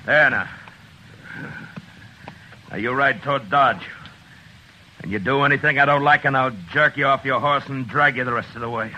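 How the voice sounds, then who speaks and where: An actor playing a tough frontier marshal in an old radio drama, terse and commanding, also narrating. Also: male voice, mid-range voice, moderate pace, long pauses, sharp tone. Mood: stern. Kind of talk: 1950s radio drama acting. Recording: noisy old radio recording.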